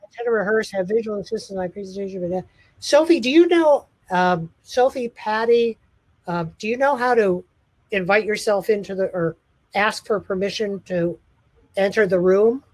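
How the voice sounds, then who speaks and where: A man's voice: high-pitched, moderate pace, no room sound, poor audio. A man who teaches college, hosting a casual video call session in a friendly, rambling way with many fillers and restarts.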